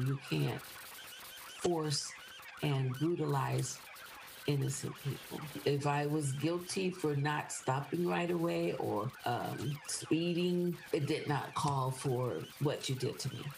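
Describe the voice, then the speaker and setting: A woman's voice: sits low, slow pace, vocal fry. A woman in her sixties giving answers in a television news interview. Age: 60s. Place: indoor room. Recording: noisy replayed remote interview.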